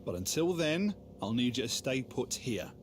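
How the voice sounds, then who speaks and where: An actor playing a firm but sympathetic lawman with a measured, matter-of-fact manner in a game drama. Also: mid-range voice, sing-song, slight background noise, lightly acted. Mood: stern, regretful.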